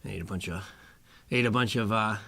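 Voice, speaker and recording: A middle-aged man hosting a music live stream from home, chatting casually between songs in a low, rough morning voice, rambling and chuckling. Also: a little fast, pushed and bright, dry recording. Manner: laid-back, rambling, chuckles often. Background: acoustic guitar playing.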